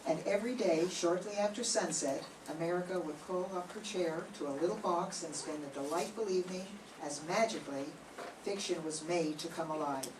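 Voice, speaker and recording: An older woman emceeing, reading a measured scripted introduction. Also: fairly low pitch. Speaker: show emcee. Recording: distant echoey room with hiss.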